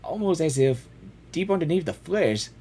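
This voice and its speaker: A woman game streamer giving casual, excitable live reactions and reading dialogue aloud, often repeating herself. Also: low voice, moderate pace, husky.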